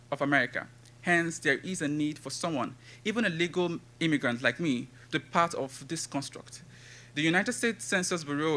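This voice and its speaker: A man in his thirties from Nigeria with a Nigerian accent, giving a conference talk and reciting his poetry in a measured, rhythmic way. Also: fairly high pitch, bright, forceful tone. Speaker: conference speaker and poet. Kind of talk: academic talk with poetry reading.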